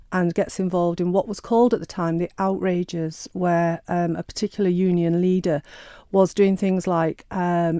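Author guest, woman, mellow lowish voice, chatty and hesitant, dry close sound. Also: no echo. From Sheffield, England. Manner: chatty, hesitant with many ums and restarts. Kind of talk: radio interview.

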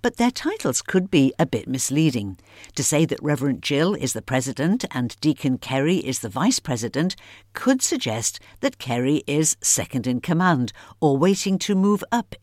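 Lively radio presenter with a dry, close sound. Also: mid-range voice, no room sound. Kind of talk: scripted introduction and interview.

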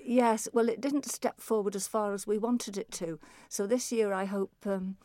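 A woman with a Manx accent, a festival chairperson, briskly and clearly explaining new classes with touches of humour. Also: medium pitch, fairly even intonation, mellow, no echo, studio quality. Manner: brisk, informative, lightly humorous. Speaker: chairperson of a music, speech and drama festival. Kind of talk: interview answers.

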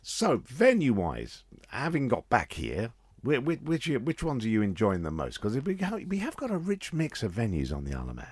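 A man hosting a radio music show, interviewing a guest in a relaxed, curious way. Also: medium pitch, moderate pace, husky, no echo. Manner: animated, curious questioning.

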